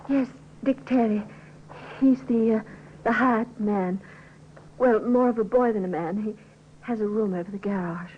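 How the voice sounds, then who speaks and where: An actress playing a distraught, hesitant young wife in an old radio crime drama. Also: female voice, mid-range voice, a little slow, natural voice. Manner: hesitant, breaking off. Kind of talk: 1950s radio drama acting. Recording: dull, noisy old radio recording.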